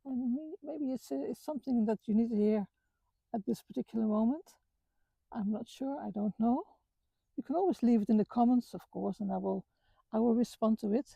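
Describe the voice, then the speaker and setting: A middle-aged woman from the Netherlands with a Dutch accent, talking earnestly about her life with many halting restarts. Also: on the high side, creaky, warm tone, slightly rough, dry recording, low-quality recording. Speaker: vlogger. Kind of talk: reflective personal talk. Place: outdoors on a walk.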